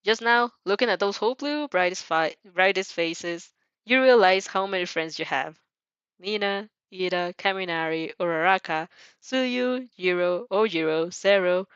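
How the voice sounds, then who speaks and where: A woman's voice: fairly low pitch, moderate pace, a bit bright, smooth, no echo, dull audio. A woman reading fan fiction aloud as informal storytelling, giggly and prone to breaking off with comments. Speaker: fan fiction reader and dubber.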